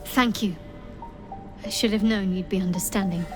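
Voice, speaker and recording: An actress playing a guarded, devout young woman cleric in a fantasy video game, speaking in a measured, earnest, formal way. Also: medium pitch, steady tone, over music, close to an everyday voice. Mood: touched, grateful. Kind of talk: video game character dialogue.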